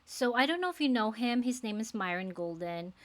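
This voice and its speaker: A woman's voice: a little high, lively intonation, brisk. A woman in her forties from the Philippines with a Filipino accent, English not her first language, talking earnestly to camera as a faith-based life coach, with many fillers. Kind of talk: casual talk to camera.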